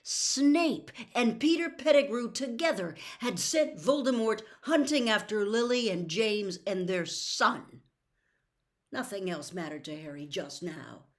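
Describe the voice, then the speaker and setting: A woman narrating a story aloud in a deliberate, dramatic and expressive storybook style. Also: medium pitch. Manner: deliberate, expressive, theatrical reading.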